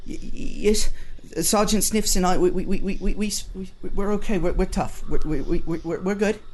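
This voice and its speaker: Tabletop fantasy child, played by a man, about six, higher-pitched, stuttering, scared but determined to seem tough. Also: normal speed, a little hiss or hum. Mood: nervous bravado. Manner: nervous stuttering. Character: frightened small boy, five or six, stammering but brave.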